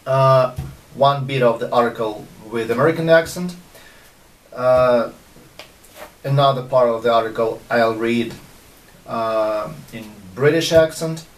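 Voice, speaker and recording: A man's voice: mid-range voice, slow, pauses often, far from the mic, poor audio. Russian man talking from off the mic. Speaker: video host. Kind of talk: casual vlog talk to viewers.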